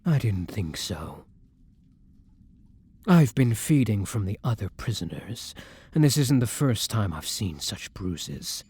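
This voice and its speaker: A man playing a gentle, tormented vampire in an intimate ASMR roleplay, speaking in a breathy hush with halting, dramatic pauses. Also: fairly low pitch, very animated, exaggerated voice. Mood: quiet anger. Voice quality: breathy, hushed, dropping into whispers. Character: tormented, gentle male vampire torn between hunger and compassion.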